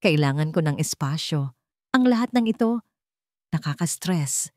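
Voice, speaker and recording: A woman's voice: sits low, lively intonation, normal speed, a little mellow, no room sound, clean studio sound. A woman voicing a calculating young mistress who speaks with cool, sweet-sounding mockery. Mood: cold. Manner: coolly mocking, sweet on the surface.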